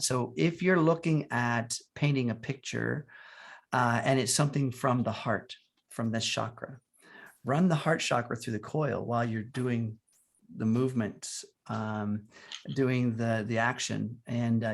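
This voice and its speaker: A man calmly explaining how to use a wellness device on a group video call. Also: medium pitch, creaky. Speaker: guest expert.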